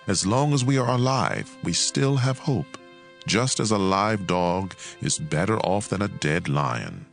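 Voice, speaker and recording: A man reading scripture as a dramatized audio Bible narration, in a measured and expressive way. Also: low-pitched, vocal fry.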